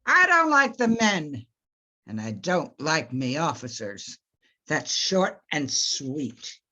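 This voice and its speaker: Woman as a dour, forceful ship's captain. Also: sits low, sing-song, slow, exaggerated voice. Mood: grim, disapproving. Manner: blunt, emphatic grumbling. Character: dour, blunt male ship's master, disapproving. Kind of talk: radio play reading. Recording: muffled remote video-call audio.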